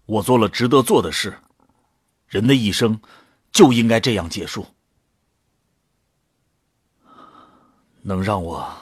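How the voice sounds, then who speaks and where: A man's voice: on the low side, expressive, normal speed, slightly rough, no room sound, studio quality. A man acting as the resolute, wistful hero and narrator of a science fiction audio drama. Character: male first-person hero, resolute, wistful, near death. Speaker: narrator and lead actor. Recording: voice under prominent background music. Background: music.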